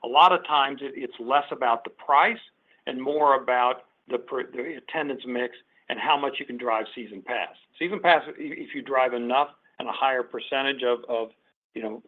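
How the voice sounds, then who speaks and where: Business exec guy on an earnings call, mid voice, keeps restarting phrases, thin phone-like audio. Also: mid-range voice. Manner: businesslike, halting restarts with repeated words. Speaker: company executive. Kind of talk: unscripted answers on an earnings call. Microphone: conference call line. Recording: muffled, band-limited conference call audio.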